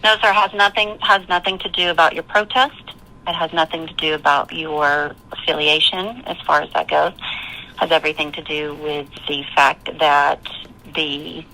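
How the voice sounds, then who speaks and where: Low voiced lady manager on a phone line, sharp tone, polite but firm, keeps repeating and stumbling. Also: fairly even intonation. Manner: firm, polite, halting, repetitive. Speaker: campground management staff. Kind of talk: formal customer phone conversation. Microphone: telephone.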